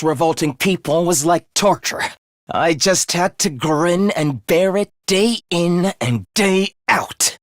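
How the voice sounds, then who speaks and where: Casting: sadistic young anime game villain, slow deliberate delivery, hugely varied pitch, theatrically contemptuous. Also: male voice, a bit bright, dry recording, studio quality, exaggerated voice. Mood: smug contempt. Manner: mock-polite, theatrical, swinging into shrill ranting. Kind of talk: anime-style video game voice acting.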